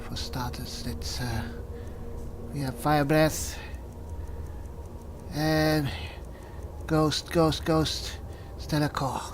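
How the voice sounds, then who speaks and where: A man doing in-character roleplay commentary over a video game, halting and muttering to himself. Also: a little high, fairly even intonation, noisy background. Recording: music behind the voice. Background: game music.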